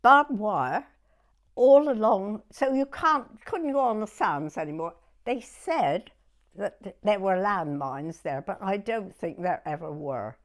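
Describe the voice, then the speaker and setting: A woman in her nineties with a southern English accent, reminiscing in an unhurried, halting way in short broken phrases. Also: medium pitch, animated. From southern England. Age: 90s. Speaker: oral history interviewee. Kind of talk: personal reminiscence in conversation.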